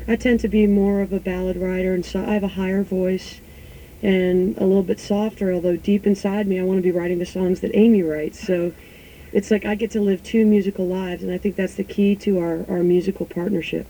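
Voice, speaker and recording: A woman musician answering interview questions in a thoughtful, reflective way, sometimes pausing to search for words. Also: medium pitch, flat delivery, mellow, slight background noise, rough recording.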